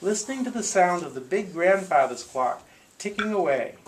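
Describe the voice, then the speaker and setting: A man telling a story theatrically and deliberately to a group, with playful asides. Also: high-pitched, expressive. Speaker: live storyteller. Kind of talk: dramatic spoken storytelling to a live group. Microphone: small consumer video camera in the room. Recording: echoey noisy room, consumer camcorder audio.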